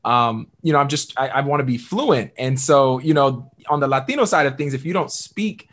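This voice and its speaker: A young man hosting an interview podcast, talking in an animated, upbeat way with many fillers. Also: medium pitch, normal speed. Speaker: podcast host. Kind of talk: conversational interview.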